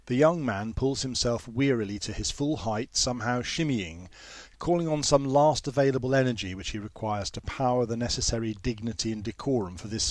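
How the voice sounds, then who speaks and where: A man narrating a literary story in a measured, formal manner with precise phrasing. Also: medium pitch, dry recording. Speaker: author reading own novel.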